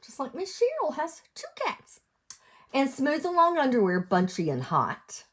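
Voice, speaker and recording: An American woman reading a storybook aloud to young children as a teacher would, in an expressive sing-song way, asking them questions. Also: high-pitched, measured pace. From United States, lived in West Virginia.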